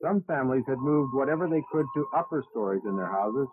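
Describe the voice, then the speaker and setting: A man's voice: mid-range voice, expressive, quick pace. Tinny, noisy male news reporter. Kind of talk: scripted news report narration. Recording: tinny archival news audio, clearly noisy.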